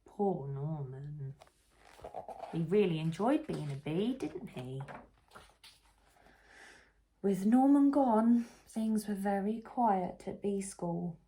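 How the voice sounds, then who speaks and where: Animated woman reading a children's storybook. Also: medium pitch. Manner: animated, unhurried, asks the listener questions. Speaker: children's book reader. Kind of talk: expressive storybook read-aloud for young children.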